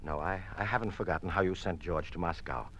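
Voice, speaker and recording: An actor playing a conflicted American communist politician in an old-time radio drama, speaking in an earnest, declamatory, halting way. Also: male voice, sits low, a bit bright, slight background noise. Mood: bitter realization. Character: conflicted American communist politician turning defiant against his bosses.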